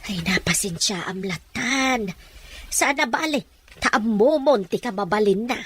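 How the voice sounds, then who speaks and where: A woman's voice: medium pitch, animated, unhurried, slightly rough. A woman playing a caring, outspoken older mother in a radio drama. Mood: sympathetic.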